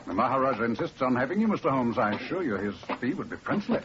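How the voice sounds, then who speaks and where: Actor as military emissary, earnest, insistent, lively pitch, old radio drama, noisy recording. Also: male voice, quick pace, highs cut off, lightly acted. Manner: formal, insistent. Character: earnest, anxious English military gentleman, polite emissary. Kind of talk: 1940s radio acting.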